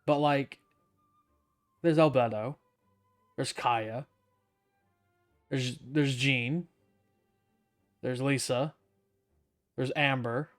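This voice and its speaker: Male streamer, mid-range pitch, casual gameplay commentary, sardonic, wry, game music in background. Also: steady tone, drawn-out words, long pauses.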